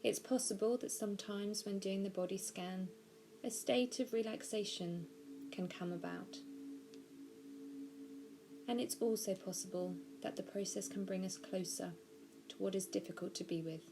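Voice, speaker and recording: A woman narrating a guided body scan meditation, giving gentle, measured instructions slowly with pauses. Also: medium pitch, fairly even intonation. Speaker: mindfulness practitioner guiding a meditation.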